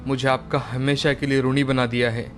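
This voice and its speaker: A man's voice: medium pitch, little pitch movement, normal speed, voice pushed down, lightly acted. A man voicing a poor, earnest and humble village father in a cartoon story. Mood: humble, grateful. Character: poor, worried, grateful village father and brother.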